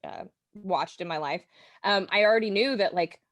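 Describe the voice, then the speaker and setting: A woman's voice: medium pitch, normal speed, no room sound. A woman chatting casually and curiously as a podcast guest. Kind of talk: casual chat.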